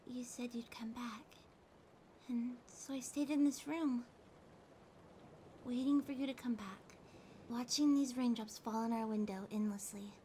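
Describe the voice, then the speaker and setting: A woman acting a needy, tender cat girl in an intimate ASMR audio drama, speaking haltingly and emotionally with breathy whispers. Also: on the high side, fairly even intonation, warm tone, natural voice. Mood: sad, tender. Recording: rain ambience noise behind the voice. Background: rain sounds.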